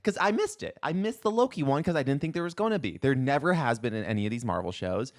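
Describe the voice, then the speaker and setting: A man's voice: medium pitch, lively intonation, fast. A man co-hosting a podcast in casual chat, rambling and slangy, leaning often on "like". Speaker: podcast co-host.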